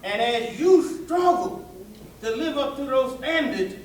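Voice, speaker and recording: A man preaching an animated, folksy sermon to listeners, tossing out rhetorical questions and colloquial asides. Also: very high-pitched, unhurried, a little hiss or hum. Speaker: preacher giving a public religious address. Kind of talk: animated sermon with call-and-response to a live audience. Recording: echoey hall, distant microphone.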